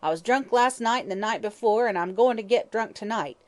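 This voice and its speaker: Woman playing a drunken old hag, croaking, snarling, high and loud, storybook villainess. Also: lively intonation, normal speed, close to an everyday voice. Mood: furious. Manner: croaking, snarling, coarse slang. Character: vicious drunken old female hag fortune-teller.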